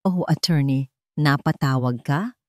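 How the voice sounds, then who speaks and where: A woman voicing a cold, vengeful young wife with a determined, deliberate manner in a melodramatic story. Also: sits low, darkish tone, no echo, studio quality.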